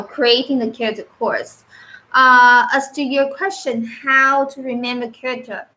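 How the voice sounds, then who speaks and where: A woman whose first language is Mandarin Chinese, teaching on a live stream, unscripted and halting with fillers and restarts. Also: a little high, bright, forceful tone, poor audio.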